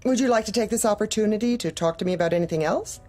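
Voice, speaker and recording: An actress playing a heartless, manipulative office manager in a dark comedy audio drama, deliberate and probing with polite menace. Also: female voice, medium pitch, faint music behind, lightly acted. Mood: veiled menace.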